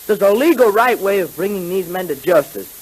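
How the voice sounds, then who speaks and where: Earnest, high-voiced boy sidekick. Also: animated, a little fast, poor audio. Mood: earnest, firm. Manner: earnest, emphatic. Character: earnest, law-abiding boy sidekick standing his ground. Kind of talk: 1930s radio adventure acting. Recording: hissy 1930s radio recording.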